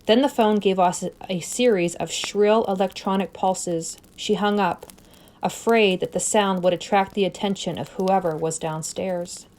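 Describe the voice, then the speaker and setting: A woman's voice: mid-range voice, fairly even intonation, measured pace, a little mellow. Woman narrating a suspenseful horror story. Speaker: story reader.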